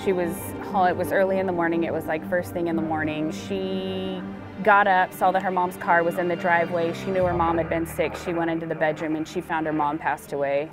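Casting: American woman, middle-aged, earnest 911 supervisor in a documentary interview, low-set voice. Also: little pitch movement, brisk, poor audio. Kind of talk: candid documentary interview about work. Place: dispatch center office, indoors. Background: music.

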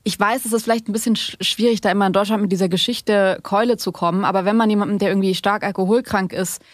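A woman's voice: mid-range voice, steady tone, fast talker, no room sound, studio quality. A woman hosting a podcast, asking long, conversational interview questions.

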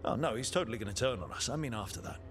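A man's voice: medium pitch, animated, racing, noisy background, close to an everyday voice. A man playing an earnest, plain-spoken adventurer in a fantasy video game. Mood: frank, hopeful. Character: earnest, plain-spoken adventurer hero, fair-minded male.

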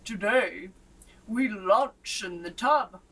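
A woman's voice: mid-range voice, slow pace, fairly bright tone, far from the mic, slight background noise, rough recording. A woman voicing a jolly king from a storybook, hearty and commanding in a theatrical way. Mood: jolly, inviting. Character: jolly, booming king lounging in his bath. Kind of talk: storybook read-aloud.

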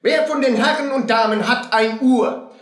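A man's voice: extremely high pitch, normal speed, a bit bright, echoey room. A man playing a pompous fairground showman in a German radio play, addressing a crowd with bombast. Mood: showy, grandiose. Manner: bombastic barker addressing a crowd, broken grammar. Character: pompous fairground showman presenting a learned horse.

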